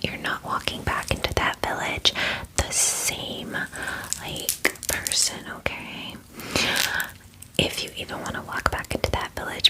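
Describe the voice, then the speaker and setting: A woman voicing a friendly, bubbly dragon in an ASMR spa roleplay, speaking in a breathy whisper with gentle, reassuring, chatty bestie talk. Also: lightly acted, poor audio. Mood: supportive, chummy. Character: friendly, bubbly female dragon giving a soothing spa treatment.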